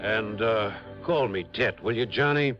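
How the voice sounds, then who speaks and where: An actor playing an earnest, articulate, worried small-town public defender in an old-time radio drama. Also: male voice, on the low side, measured pace, music in the background, natural voice. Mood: earnest, sympathetic. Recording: dull vintage radio recording.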